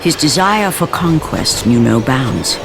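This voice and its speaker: A woman's voice: rumbling low, sing-song, measured pace, gravelly, exaggerated voice. A woman narrating a video game's Greek myth as a grave storyteller, in solemn, dramatic scripted style. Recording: loud music under the narration. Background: loud game score.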